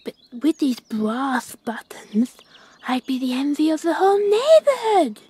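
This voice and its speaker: A woman voicing a vain, greedy male magpie in a children's story, gushing with breathy admiration. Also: high voice, big pitch swings, a little slow, warm tone, voice pushed up. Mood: greedy delight.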